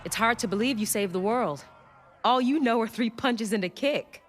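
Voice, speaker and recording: An actress playing a composed, proud warrior woman in an animated adventure game, speaking in a measured, formal storytelling way. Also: on the high side, close to an everyday voice. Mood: instructing, confident. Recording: loud background music.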